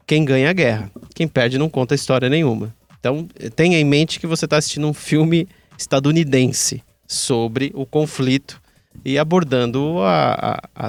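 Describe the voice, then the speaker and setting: A man's voice: mid-range voice, no echo. A man with a Brazilian accent hosting a casual podcast chat in an informal, slangy way, with some swearing. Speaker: podcast host.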